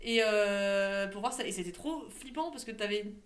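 A young woman co-hosting a casual podcast chat, playful and quick to laugh. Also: medium pitch. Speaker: podcast co-host. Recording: echoey room.

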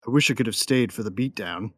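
A man's voice: on the low side, fast talker, no echo, clean studio sound, lightly acted. A man playing a tough young gunfighter and protective big brother in a sci-fi audio drama, matter-of-fact and wry. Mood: matter-of-fact, grim. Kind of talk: first-person narration and dialogue in sci-fi audio drama.

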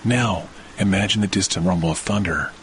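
A man hosting a guided sleep meditation, speaking in a slow, soothing way with long pauses. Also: deep voice, slight background noise, rough recording. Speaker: podcast host and meditation guide. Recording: loud rain and thunder behind voice. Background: rain and thunder sounds.

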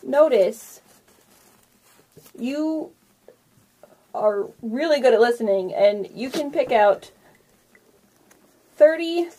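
A woman improvising narration as game master, casual and halting as she thinks aloud. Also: high-pitched, lively intonation, dark tone, poor audio.

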